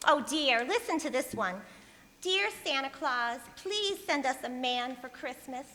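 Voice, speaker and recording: A scatterbrained radio-comedy wife with an extremely high, bright voice. She chatters along innocently and cheerfully. The stage sound rings in an echoey hall. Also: expressive, measured pace, slight background noise, heavily acted. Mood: chirpy, innocent. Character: cheerful scatterbrained young wife, comic ditz.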